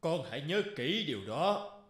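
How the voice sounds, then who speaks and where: A man's voice: a little high, big pitch swings, normal speed, a bit bright. A solemn god speaks from a shrine in a cartoon folktale. His authoritative voice rises and falls widely, wrapped in a roomy echo. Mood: solemn prophecy. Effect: echo reverb. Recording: echoing, reverberant voice effect.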